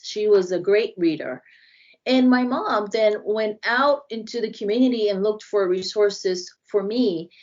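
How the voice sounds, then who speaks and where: Brazilian-accented woman consultant, earnest, explanatory, mid pitch, slightly muffled lo-fi remote audio. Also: darkish tone. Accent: Brazilian Portuguese. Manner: earnest, explanatory, frequent restarts. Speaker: inclusion consultant, guest. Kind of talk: podcast interview.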